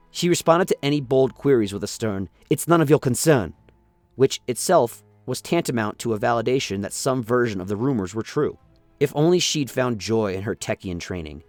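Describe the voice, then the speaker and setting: A man with a lowish voice narrates a scripted radio play. His delivery is dramatic storytelling, set over a music bed.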